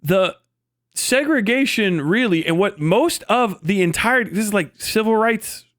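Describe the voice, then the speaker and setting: A man hosting a livestream, giving casual, profanity-laden political commentary in a rambling, animated manner. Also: high voice, a little slow, no room sound, clean studio sound. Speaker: livestream host.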